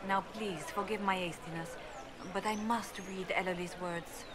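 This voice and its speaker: A woman's voice: medium pitch, steady tone, moderate pace, noisy background, close to an everyday voice. An actress playing a gracious, genteel noblewoman in a fantasy video game, polite and formal, with a crowd behind. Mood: moved, grateful. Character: gracious, genteel noblewoman, emotional about family. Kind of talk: cinematic game dialogue acting. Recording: busy crowd ambience behind.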